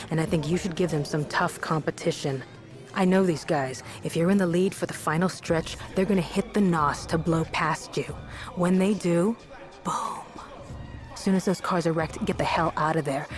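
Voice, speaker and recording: Actress as low-voiced, brash street racer woman. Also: close to an everyday voice. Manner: assertive, wry, streetwise. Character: tough, brash female street racer and gang schemer. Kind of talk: video game cutscene acting.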